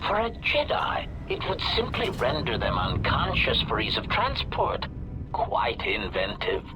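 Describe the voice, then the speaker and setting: An actor playing a polite but sinister protocol droid in a video game, with robotic processing and formal, pedantic delivery. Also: male voice, mid-range voice, wildly swooping pitch, measured pace, poor audio. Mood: smug, evasive. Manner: formal, pedantic, labels statements before saying them. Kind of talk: scripted video game dialogue.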